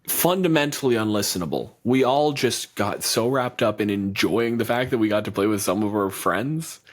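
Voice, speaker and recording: Casual podcast co-host, man with a low voice, swears freely and wanders through his points. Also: moderate pace. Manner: loose and profane, rambling with restarts. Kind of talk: casual chat.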